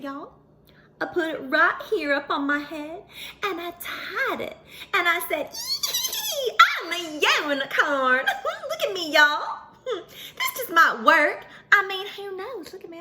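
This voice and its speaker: Woman playing a high-pitched, excitable dreamy pony. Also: unhurried, heavily acted. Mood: excited, gushing. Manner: gushing, dramatic, folksy, addresses listeners as y'all. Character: excitable, vain, dreamy female pony who longs to be a unicorn. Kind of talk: animated first-person storytelling for children.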